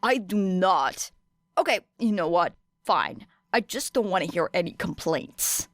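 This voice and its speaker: A woman voicing a sardonic, put-upon teenage boy in an audiobook, dry, huffy and deadpan. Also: fairly low pitch, a little slow, no echo. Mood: grudging.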